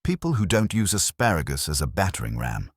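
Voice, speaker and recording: A man voicing an irreverent detective's assistant who wisecracks in a flippant deadpan, in an audiobook mystery. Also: sits low, brisk, slightly rough, dry recording, clean studio sound, voice pushed up, exaggerated voice.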